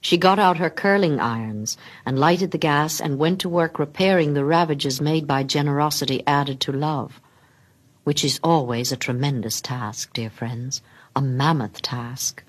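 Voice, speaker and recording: A woman narrating a literary story expressively, with theatrical pauses and hushed drops in her delivery. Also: low-pitched. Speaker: story reader.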